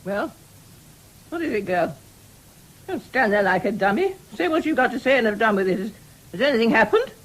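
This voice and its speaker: An actress playing an imperious, sharp-tongued but generous older mistress in a 1970s radio drama, with brusque, commanding speech. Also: female voice, a little high, animated, fairly quick, long pauses, poor audio. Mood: impatient.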